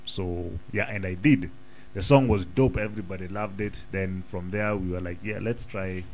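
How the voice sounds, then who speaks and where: A Kenyan man in his thirties with a fairly low voice talks at a boom mic in a small radio studio. He is animated and full of restarts, switching between English and Swahili slang. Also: moderate pace, a little hiss or hum. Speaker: guest rapper. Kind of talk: casual radio interview. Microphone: studio mic on boom arm.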